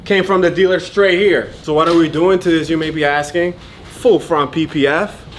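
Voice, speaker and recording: A man's voice: fairly high pitch, fairly even intonation, moderate pace, a little hiss or hum. A man co-hosting a car shop vlog, joking and hyping up the vehicles in casual banter. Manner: playful, hype-man banter. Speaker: shop worker and vlog co-host.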